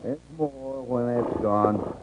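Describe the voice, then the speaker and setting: An actor playing a hostile, suspicious horse thief guarding his land, with a rural American accent and a curt, gruff manner, in 1950s Western radio style. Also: medium pitch, takes it slowly, lightly acted. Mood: suspicious, grudging. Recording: muffled, noisy 1950s radio recording.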